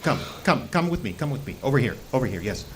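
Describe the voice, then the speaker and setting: An actor playing an anxious, secretive hermit scientist in an audio drama, halting and stammering as he pleads. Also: mid-range voice, very animated, a little hiss or hum, natural voice. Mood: urgent, earnest.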